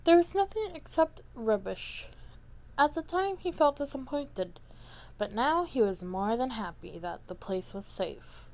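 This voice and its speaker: A woman reading a story aloud as an amateur narrator, with a halting delivery that stumbles over words and goes back to reread them. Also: fairly high pitch, expressive, a little mellow. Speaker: amateur fan fiction reader.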